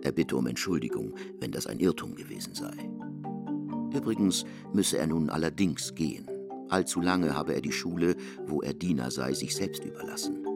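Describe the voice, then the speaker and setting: A man narrating a literary radio play, with loud music behind him. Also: a bass voice, vocal fry.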